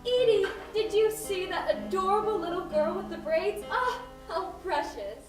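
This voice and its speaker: A woman's voice: extremely high pitch, lively intonation, normal speed. A woman playing a sweet, fragile young wife in a student radio play. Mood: loving, playful. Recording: roomy distant recording under clear music.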